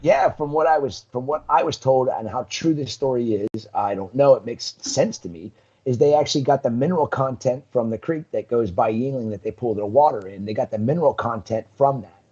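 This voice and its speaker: A man's voice: medium pitch, a little fast, darkish tone, slightly rough, dull audio. A man, a guest on a live talk stream, telling rambling stories full of ums over a video call. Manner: rambling storyteller, many ums, laughs often. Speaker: guest, coral business owner. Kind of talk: casual live-stream chat.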